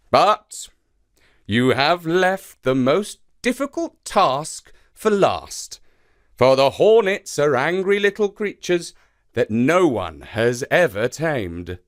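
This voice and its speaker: A man's voice: on the high side, dry recording, studio quality. A man voicing a grand, amused, all-seeing male sky god in a children's folktale, with a theatrical manner.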